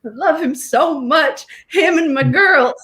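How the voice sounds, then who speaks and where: A woman's voice: sits high, measured pace, fairly bright tone. A woman playing a tearful, gushing, churchgoing grandmother as a guest on a comedy show. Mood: weepy gushing.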